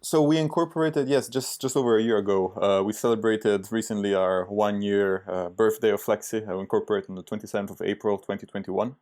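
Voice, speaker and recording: Young man, low-pitched, hesitant casual interview guest. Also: fairly even intonation, fairly quick, hardly any pauses, a little mellow. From Italy. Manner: hesitant, many fillers and restarts. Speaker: startup founder, podcast guest.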